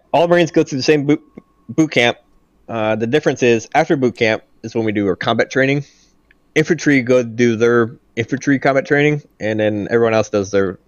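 A man's voice: fairly low pitch, no room sound, dull audio. A man who works as a Marine aircraft mechanic answers technical questions in a plain-spoken, casual way over a video call.

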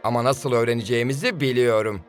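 A level-headed cartoon footballer, voiced by a man, with a middle-pitched voice. He talks matter-of-factly over faint music. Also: fast talker, natural voice. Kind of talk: cartoon dubbing.

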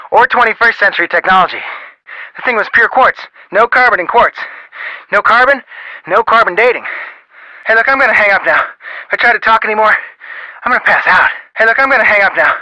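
A man's voice: high voice, bright, forceful tone, no room sound, exaggerated voice, rough recording. An actor playing a brusque, impatient male scientist talking on the phone in a video game, in short clipped sentences.

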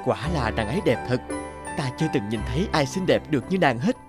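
Self-assured young royal suitor, male actor, expressive cartoon dub with music behind. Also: medium pitch, fast, lightly acted. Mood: courtly, admiring. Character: proud, insistent young emperor wooing a maiden. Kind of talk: Vietnamese children's cartoon dubbing.

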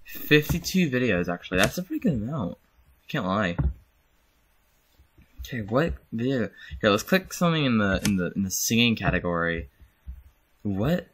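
Young male host, lowish bright voice, rambling casual screen-recording chatter. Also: big pitch swings, normal speed, long pauses, a little hiss or hum. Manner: rambling, self-correcting, chuckles. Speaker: channel host.

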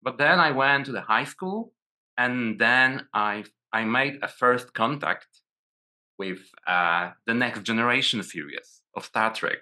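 Bright-voiced Polish man on a video call. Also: mid-range voice, expressive, a little slow. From Poland. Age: 40s. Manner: hesitant, many restarts and repeated words. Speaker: guest. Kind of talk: casual video call interview.

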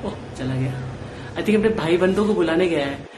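An older woman filming on a phone and narrating casually, mixing in English and laughing warmly as she talks. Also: on the low side, animated, normal speed. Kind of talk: casual chat. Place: large home by a garden window. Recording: echoey room with background noise.

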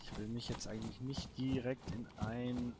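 A man's voice: mid-range voice, little pitch movement, normal speed. A man giving casual, colloquial let's play commentary, talking half to himself and half to the game. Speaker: gamer and host of a let's play series. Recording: noisy home recording with clicks.

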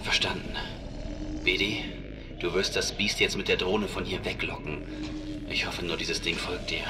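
A man acting a low, commanding spaceship captain. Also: fairly even intonation, normal speed, background noise, natural voice. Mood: tense, hushed. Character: decisive, commanding male spaceship captain under threat. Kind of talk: sci-fi horror radio drama acting.

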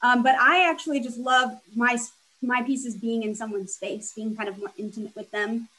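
A woman's voice: on the high side, steady tone, normal speed, sharp tone, poor audio. A young woman designer on a video call from home, speaking in an easygoing, upbeat way. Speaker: furniture designer. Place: home room with plants.

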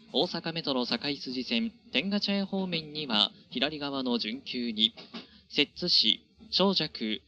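A man's voice: mid-range voice, bright, forceful tone. A man working as a train conductor, making formal, polite announcements over the train's address system. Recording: dull train PA with faint noise.

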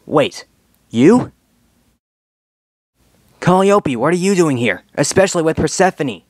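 A man's voice: a little high, expressive, measured pace, a little mellow, slightly rough, no room sound, studio quality, voice pushed up. A man voicing a heroic young dragon leader in a cartoon dub audition, determined, confident and earnest as he rallies his team. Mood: urgent, commanding. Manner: earnest, commanding, rallying. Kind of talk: scripted cartoon fandub audition lines.

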